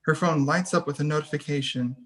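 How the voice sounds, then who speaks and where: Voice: mid-range voice, steady tone, fairly quick. A narrator reading scene headings and action lines in a scripted table read. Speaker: stage directions narrator.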